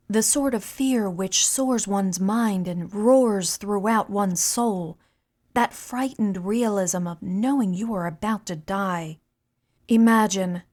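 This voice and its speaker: A woman narrating audiobook excerpts in a measured, expressive storytelling manner. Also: mid-range voice. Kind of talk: audiobook narration demo reel.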